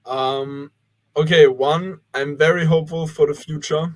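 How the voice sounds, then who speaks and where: A man's voice: fairly high pitch, fairly even intonation, measured pace, low-quality recording. A young man from Austria, a guest organizer on a live online panel, answering in a rambling way with many fillers. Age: young adult. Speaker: guest, youth cleanup organizer. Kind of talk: casual livestream panel answer.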